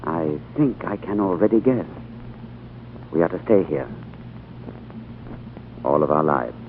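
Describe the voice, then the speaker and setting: An actor playing a male diplomat who narrates his strange journey in a 1930s radio drama, earnest and expressive. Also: deep voice, measured pace, slight background noise, heavily acted. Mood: awed. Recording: tinny old radio broadcast with music.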